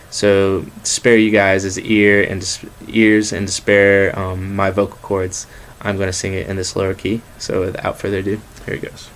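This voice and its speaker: Man explaining guitar chords quickly. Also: slight background noise. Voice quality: bass voice. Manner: quick, relaxed explaining with fillers. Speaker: guitar teacher. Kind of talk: guitar lesson. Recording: guitar playing behind. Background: acoustic guitar.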